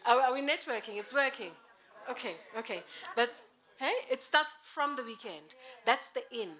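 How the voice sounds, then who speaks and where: A woman with a husky voice hosting a live event in a chatty, playful, informal way. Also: fairly high pitch, moderate pace. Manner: chatty, playful, with self-interruptions. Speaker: event host introducing a keynote speaker.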